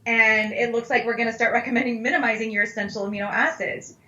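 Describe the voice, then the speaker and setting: A woman's voice: on the high side, steady tone, fast, pushed and bright. A woman speaking as a dietitian guest in a casual expert conversation, candid and emphatic. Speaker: plant-based dietitian, interview guest. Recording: echoey, slightly dull audio.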